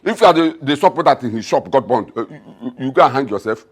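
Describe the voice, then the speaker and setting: Sarcastic bossy dad, husky voice, swoops up and down a lot. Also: male voice, medium pitch, expressive, fast talker. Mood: mocking. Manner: mocking, sarcastic, stammers when agitated. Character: scornful middle-aged father, mocking, bossy. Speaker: father.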